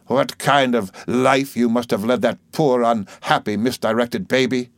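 A man's voice: medium pitch, steady tone, unhurried, dry recording, voice pushed up, natural voice. A man voicing a fierce, blunt elderly aunt who scolds with indignation. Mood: scolding.

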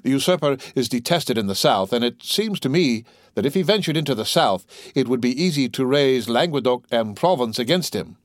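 A man's voice: medium pitch, animated, brisk, dry recording, clean studio sound, close to an everyday voice. A man voicing an earnest, deferential magistrate addressing his king. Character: eager young male magistrate, deferential, earnest.

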